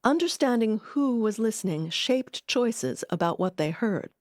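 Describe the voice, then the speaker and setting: A woman's voice: fairly high pitch, expressive, unhurried, darkish tone, no room sound, clean studio sound. A woman reading scripted documentary narration. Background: music.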